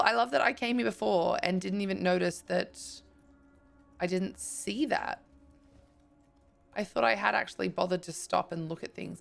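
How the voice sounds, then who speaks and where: A woman streaming live, her voice croaky, chatting casually with viewers and thanking them as she plays. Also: on the low side, a bit bright. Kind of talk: casual live-stream chat while gaming.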